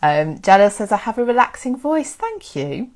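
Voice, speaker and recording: A woman hosting a casual history live stream, chatty and excitable, thanking viewers by name. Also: medium pitch, normal speed. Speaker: history livestream host.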